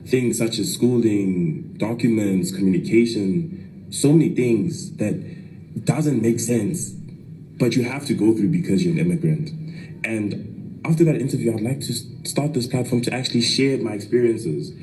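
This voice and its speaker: A young man speaks in a low, mellow voice. He addresses the camera earnestly, with faint traffic and outdoor noise behind him. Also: measured pace, low-quality recording. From Nigeria, raised in South Africa. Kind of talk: social media video monologue.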